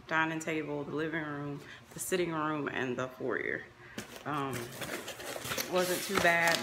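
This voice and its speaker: A woman's voice: sits low, bright, forceful tone, slight background noise. A woman in her thirties chatting casually to her phone camera at home, hot and tired after cleaning, talking in a loose way with plenty of fillers. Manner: chatty, loose, many fillers, addresses viewers as y'all. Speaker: vlogger. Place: home kitchen and living room, indoors. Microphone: phone camera held close.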